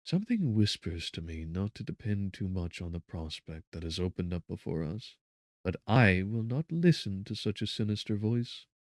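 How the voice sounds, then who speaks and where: A man voicing a gentle young bride, tender and brightly reassuring, in soft, earnest, old-fashioned speech for a dramatic audiobook. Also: deep voice, big pitch swings, normal speed, no room sound, studio quality. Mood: tender, hopeful.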